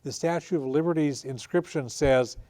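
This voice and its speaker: A man hosting an interview program, introducing his guests and asking questions in a measured way. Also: a little high, mellow. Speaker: radio show host.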